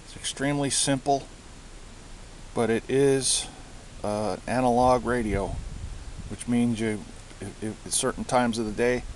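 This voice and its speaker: A man giving a casual show-and-tell of his gear, speaking haltingly and often repeating himself as he searches for words. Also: mid-range voice, steady tone, rough recording. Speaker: hobbyist presenter. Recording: hissy amateur home recording.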